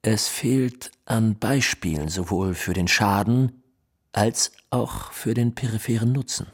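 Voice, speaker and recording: A detached man of science in a radio play, low and husky. He sets out dry findings in formal at a very slow, deliberate pace. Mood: detached, clinical. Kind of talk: scripted radio play, mock-scientific statement.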